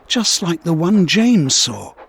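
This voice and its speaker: Man voicing a plucky, excitable young storybook engine. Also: on the high side, slow, gravelly, slight background noise, voice pushed up, heavily acted. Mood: frightened. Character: plucky young male tank engine, boastful yet easily spooked. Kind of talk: children's storybook character voice.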